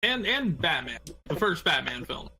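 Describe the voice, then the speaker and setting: Bright, higher-pitched man, blunt talker. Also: big pitch swings, moderate pace, slightly rough. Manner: blunt, crude-mouthed. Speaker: podcast panelist. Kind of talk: casual group discussion.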